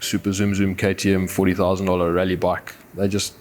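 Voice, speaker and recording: A man's voice: deep voice, completely flat delivery, fairly quick, a little hiss or hum. A man from Zimbabwe with a Zimbabwean accent, speaking earnestly and philosophically in a reflective, conversational documentary interview. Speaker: adventurer and overlander, interviewee. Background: music.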